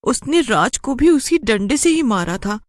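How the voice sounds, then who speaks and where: A woman's voice: sits high, very animated, measured pace, dry recording, studio quality, pitched above the performer's own voice. A woman voicing a scared but scheming young girl with an earnest, insistent, childlike delivery. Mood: thinking aloud.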